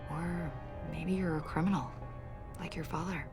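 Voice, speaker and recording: An actress playing a confident government agent in a video game, questioning in a pointed, insinuating and accusatory way. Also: female voice, deep voice, steady tone, moderate pace, music in the background. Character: probing female government agent, confident, accusatory, smoothly needling. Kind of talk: video game dialogue acting.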